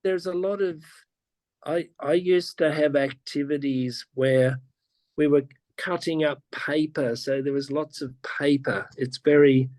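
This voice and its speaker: A man's voice: on the high side, fairly even intonation, darkish tone, dry recording. A man, a teacher, patiently explaining classroom ideas in a casual online interview, often restarting his sentences. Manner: patient, slow, with frequent restarts and repeats. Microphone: video call.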